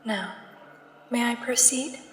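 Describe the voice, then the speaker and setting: Woman acting as an echoing, uncanny government examiner. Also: a little high. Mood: formal, persuasive. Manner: measured, formal, hushed. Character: soothing, uncanny female government examiner at Area 51. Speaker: ASMR roleplay performer. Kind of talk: soft-spoken ASMR sci-fi roleplay. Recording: echoey long reverb, faint background noise. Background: sci-fi ambience.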